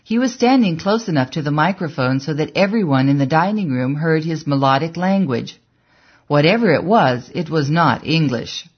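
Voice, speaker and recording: An audiobook narrator reading a story in a measured, even way, on a dull, lo-fi recording. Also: mid-range voice, slightly rough. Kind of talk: scripted narration.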